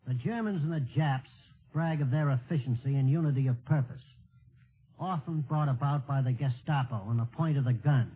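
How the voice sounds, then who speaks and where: A man delivering a formal wartime radio speech in an earnest, measured, declamatory way. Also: mid-range voice, slightly rough. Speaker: radio network executive giving an address. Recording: tinny narrow-band 1940s radio broadcast.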